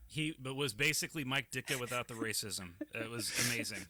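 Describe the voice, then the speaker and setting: A man's voice: mid-range voice, expressive, brisk, bright, forceful tone, a little hiss or hum. A man co-hosting a podcast in casual chat, joking and ranting with energy. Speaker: podcast co-host.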